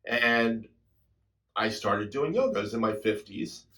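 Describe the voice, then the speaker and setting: A man in his sixties speaks to his viewers in a reflective, introspective way, unhurried and personal. His voice sits a little low, and he lets many phrases fade off softly. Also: lively intonation. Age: 60s. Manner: introspective storytelling, soft trailing endings. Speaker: drummer and channel host. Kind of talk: reflective personal talk to camera.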